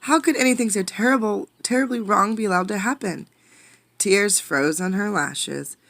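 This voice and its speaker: A woman reading a storybook aloud as a teacher, expressively, pausing to ask listeners questions. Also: mid-range voice, creaky, a bit bright, no echo. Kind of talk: interactive storybook read-aloud.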